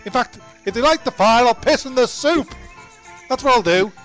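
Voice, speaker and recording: A man's voice: very high-pitched, lively intonation, moderate pace, fairly bright tone, faint music behind. A man doing improvised comedy role-play, complaining in a whingeing, sweary, rambling way. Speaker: comedy podcast performer.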